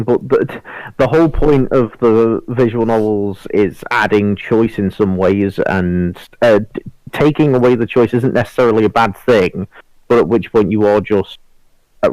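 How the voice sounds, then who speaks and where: A man's voice: lowish voice, a little mellow, no echo, low-quality recording. A man talking casually and informally as a panellist in a podcast discussion, given to banter.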